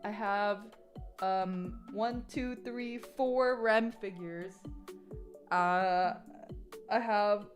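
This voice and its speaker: A woman's voice: medium pitch, steady tone, extremely slow, soft background music. A woman streamer chatting casually from her home gaming room, rambling and giggling, with lots of ums while she lists her things. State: stuffed up after a missed sneeze. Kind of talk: casual stream chat while building a model kit.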